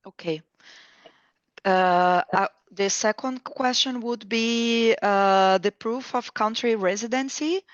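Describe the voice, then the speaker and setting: A woman's voice: on the high side, slow, no echo. A woman applying for a position, asking questions on a video call in a hesitant way with many fillers. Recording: dry, slightly dull call audio.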